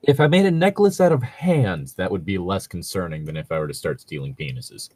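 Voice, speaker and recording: A man in a casual, jokey chat. His voice sits fairly low and has a husky edge, swinging widely in pitch as he talks. The sound is close and dry. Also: a little fast, no room sound. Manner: animated, jokey, swears casually. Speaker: co-host chatting about tabletop roleplaying.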